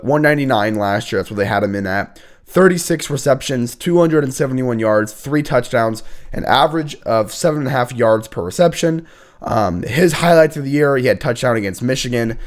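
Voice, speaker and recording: A young man hosts a casual college football show while sick, his voice hoarse and worn thin. He rambles, restarts sentences and stops to clear his throat, keeping his pitch in an ordinary middle range. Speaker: host. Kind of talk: casual sports commentary.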